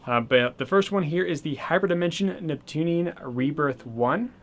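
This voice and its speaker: A man casually showing off his new video games and accessories, chatty and rambling, slightly hoarse as he says he is losing his voice. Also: fairly high pitch, expressive, normal speed. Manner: chatty and rambling, with many fillers. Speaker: gaming channel host. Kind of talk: casual video game haul vlog.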